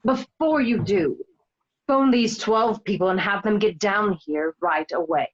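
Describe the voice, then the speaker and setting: A woman's voice: medium pitch, unhurried, close to an everyday voice. Sly female cat thief played by a woman, composed, demanding, dull lo-fi home recording. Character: sly female cat burglar, cool and scheming. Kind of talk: amateur radio play reading.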